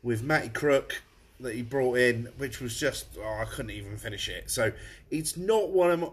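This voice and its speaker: A man's voice: medium pitch, normal speed, slightly rough. A man, a pub landlord chatting casually on a live stream while tasting beer, his nose blocked up. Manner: chatty, rambling, frequent restarts. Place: room above his pub.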